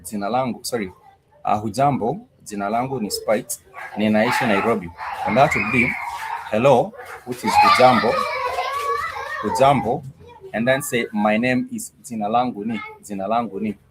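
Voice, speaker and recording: A man's voice: fairly low pitch, fairly even intonation, moderate pace, very noisy. A man teaching a live online language class, patiently repeating each phrase several times.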